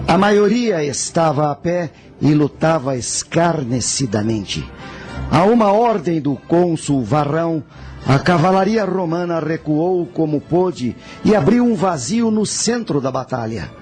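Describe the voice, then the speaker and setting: Guy playing a proud ancient general in a radio play, slow and solemn, kinda higher voice. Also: darkish tone. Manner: solemn, measured, formal. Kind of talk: radio drama acting.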